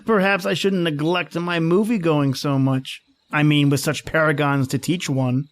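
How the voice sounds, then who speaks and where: A man's voice: fairly high pitch, fairly even intonation, normal speed, close to an everyday voice. A man playing a teasing, romantic astronomy professor husband in a radio drama, with playfully pompous, wordy delivery. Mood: playfully pedantic. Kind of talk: old-time radio drama acting.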